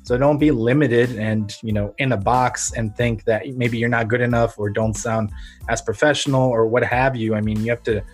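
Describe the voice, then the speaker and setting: A man's voice: medium pitch, fairly even intonation, normal speed, faint music behind. A man with an American accent talking earnestly and reflectively as the host of a conversational podcast interview.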